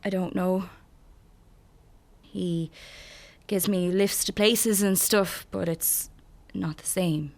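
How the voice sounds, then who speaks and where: A young actress with an Irish accent playing a guarded, wry, depressed woman in therapy, hesitant and deadpan in a naturalistic radio drama. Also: fairly low pitch, takes it slowly. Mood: low, reluctant. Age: young adult.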